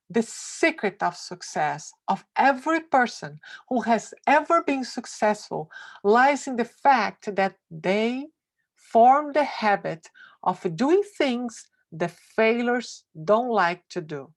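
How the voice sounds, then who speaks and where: A woman reading a motivational essay aloud, expressive and emphatic. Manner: slow, emphatic, with wide swings of pitch. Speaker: reader of a success essay.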